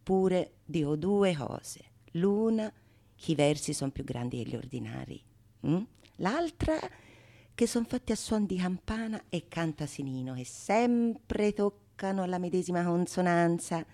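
A woman's voice: lowish voice, big pitch swings, a little slow, mellow. A woman playing a scornful, crafty go-between in a theatrical radio monologue, with a dry, sardonic manner. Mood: mocking. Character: scornful, crafty go-between mocking a lover's verses.

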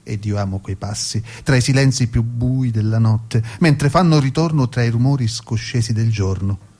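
A man's voice: mid-range voice, normal speed, warm tone. A man reading his own poem as a guest, earnest and incantatory in delivery. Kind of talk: poetry recitation.

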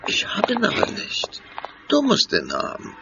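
A man's voice: sits high, wildly swooping pitch, unhurried, exaggerated voice. A man playing a nervous, fretful father in a German horror audio drama. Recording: dull, noisy audio-drama tape.

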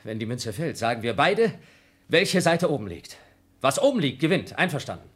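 Actor playing a trapped schemer, persuasive, lively pitch, radio play. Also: male voice, moderate pace, close to an everyday voice. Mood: scheming. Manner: persuasive, then frantic shouting. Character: scheming man trapped in a station, called Blob.

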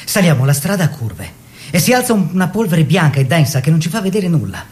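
Young well-to-do painter: higher-pitched, very animated, expressive stage monologue, relishes each short phrase. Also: male voice, fast talker. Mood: wondering. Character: eager young bourgeois painter fleeing the family business. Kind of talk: theatrical radio monologue.

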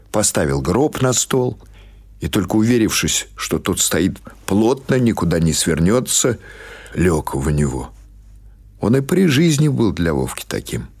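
A man reading literary prose as an audiobook narrator, in a measured, dramatic storytelling manner. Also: on the low side, darkish tone, breathy edge.

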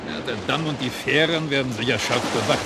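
A male actor as a calculating fugitive in a radio play, with a creaky, gravelly voice at medium pitch. He gives instructions matter-of-factly against very loud surf-like noise. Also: brisk, natural voice. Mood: businesslike, insistent. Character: calculating male fugitive arranging his escape. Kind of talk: naturalistic German radio drama acting.

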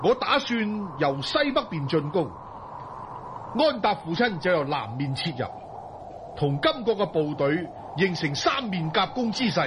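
An actor playing an earnest, resolute young Mongol chieftain in a Cantonese audio drama, with a husky voice and an emphatic, dutiful manner. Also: male voice, fairly high pitch, big pitch swings, unhurried, noisy background.

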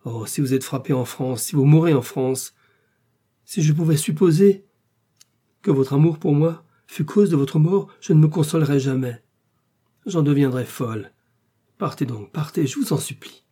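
A man putting on an anguished queen from a period romance. The voice is soft-edged, dark and husky, with a formal, agitated, imploring delivery that keeps its royal dignity. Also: a little high, normal speed. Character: anguished, dignified queen, torn between duty and love.

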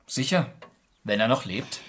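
A man playing a composed, commanding gentleman detective with a dry, teasing streak in a radio crime drama. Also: mid-range voice, animated, unhurried.

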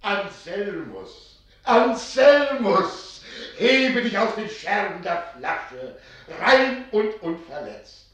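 Distant, booming, solemn spirit lord. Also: male voice, big pitch swings, extremely slow, a bit bright, full character voice. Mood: solemn command. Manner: solemn, stately proclamation. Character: solemn, commanding salamander spirit, old archivist. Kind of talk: German radio play acting. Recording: booming echo from afar, faint music behind.

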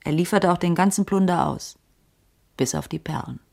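Low, gravelly, world-weary streetwise woman. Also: moderate pace, a little mellow. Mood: knowing, matter-of-fact. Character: careworn, streetwise female ex-cop with a tip. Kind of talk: radio drama dialogue, hard-boiled crime.

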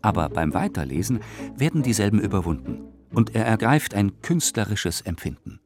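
Male radio-play reader, lowish voice, highly varied melody, theatrical measured delivery of scripted documents. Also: big pitch swings, music in the background. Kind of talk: scripted dramatic reading of historical letters and documents. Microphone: close studio microphone.

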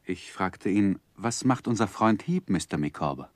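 An actor playing a composed, considerate gentleman who narrates his life story in measured literary style for a 1960s radio play. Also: low voice, expressive, dry recording. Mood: calm, concerned.

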